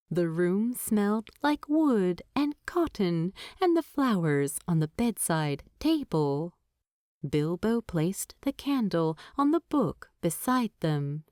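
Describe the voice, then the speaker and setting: A woman reads a story aloud at a slow, deliberate pace. Her medium-pitched voice moves expressively up and down, recorded dry like an audiobook. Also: no room sound. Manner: unhurried, expressive, deliberate phrasing. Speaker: narrator. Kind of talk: scripted fiction narration, audiobook storytelling.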